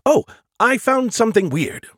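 A man voicing an excitable, arrogant artificial intelligence in an audiobook, flippant and quick to insult. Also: very high-pitched, no room sound, clean studio sound, pitched above the performer's own voice. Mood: puzzled.

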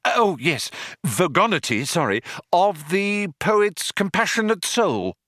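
A man voicing a nervous, eager human fellow who flatters and flounders in a bright, gushing storybook voice. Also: high-pitched, takes it slowly, no echo, clean studio sound, voice pushed up, exaggerated voice. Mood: eager, floundering.

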